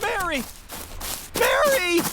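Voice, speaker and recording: A woman's voice: extremely high pitch, lively intonation, slow, noisy background, exaggerated voice. A woman playing a frantic, desperate family man, stammering and pleading, in a comic radio drama.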